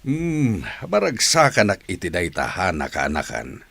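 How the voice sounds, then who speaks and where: A low, husky family man in middle age, fond yet worried. His gravelly voice moves expressively through a radio play. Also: wildly swooping pitch, a little slow, fairly bright tone, no room sound, heavily acted. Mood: fond, warm. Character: middle-aged father and uncle, fond but worried. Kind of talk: radio drama acting.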